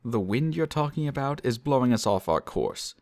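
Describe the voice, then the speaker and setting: Male actor as a practical young friend hurrying others along; animated, dry booth sound. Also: mid-range voice, normal speed, no echo, natural voice. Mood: urging. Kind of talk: audio drama acting.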